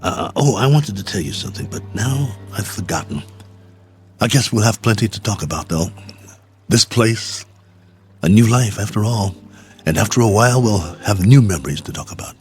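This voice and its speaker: Man acting a musing stranded space traveler, low voice. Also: expressive, natural voice. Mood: gentle, hopeful. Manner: halting, musing, talks to himself. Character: stranded male space traveler, weary, hopeful, gently welcoming. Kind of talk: radio drama acting.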